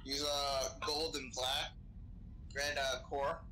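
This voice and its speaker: A man's voice: medium pitch, flat delivery, slow, pushed and bright, slight background noise, low-quality recording. A man chatting casually in character during an online role-playing game, swearing now and then, on a voice chat mic. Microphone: game voice chat microphone.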